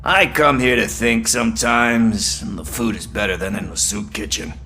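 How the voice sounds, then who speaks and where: A man's voice: mid-range voice, moderate pace, sharp tone, slight background noise, close to an everyday voice. An actor playing a wry, easygoing male street informant in a video game, with a playful, theatrical manner. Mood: wry, friendly.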